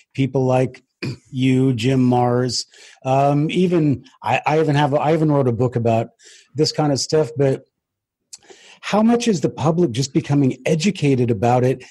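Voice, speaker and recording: A man's voice: medium pitch, warm tone. An American man hosting an interview in a conversational, informal way, often restarting and adding personal asides. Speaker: interview host.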